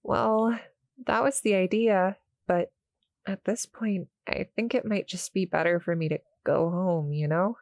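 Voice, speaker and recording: A woman playing a shy, gentle succubus cuddle monster in an intimate roleplay audio, speaking hesitantly, softly and politely to the listener. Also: mid-range voice, a little slow, no room sound, studio quality, close to an everyday voice. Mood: apologetic. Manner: hesitant, soft-spoken, polite, apologetic.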